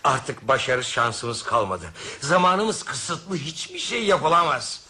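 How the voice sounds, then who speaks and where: A man's voice: mid-range voice, expressive, slow, bright, forceful tone, exaggerated voice. An actor playing a nervous, defeatist male passenger in a radio play. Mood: nervous, defeated. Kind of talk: radio theatre acting.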